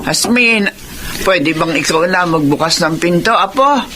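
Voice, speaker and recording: A woman's voice: lowish voice, animated, a little slow, bright, forceful tone, a little hiss or hum. An actress playing an elderly, selfless Filipino grandmother in a family drama. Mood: apologetic. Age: older. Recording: dramatic music under dialogue.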